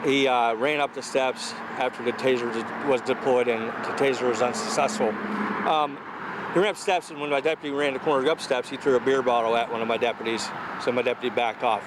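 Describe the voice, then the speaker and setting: American sheriff's official over background noise. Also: male voice, mid-range voice, fairly even intonation, fairly quick. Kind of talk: unscripted news interview. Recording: clear outdoor background noise.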